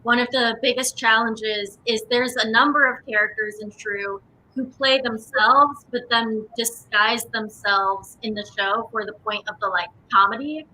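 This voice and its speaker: A woman's voice: on the high side, fairly even intonation, unhurried, sharp tone, slight background noise, poor audio. A woman actor talking casually as an interview guest. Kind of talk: casual chat, telling anecdotes.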